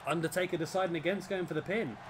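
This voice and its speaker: A man with a raspy, fading voice, commentating on a wrestling video game as the show's host. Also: a little high, fast. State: hoarse, voice fading. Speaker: host and commentator of a gaming series. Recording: clearly noisy background.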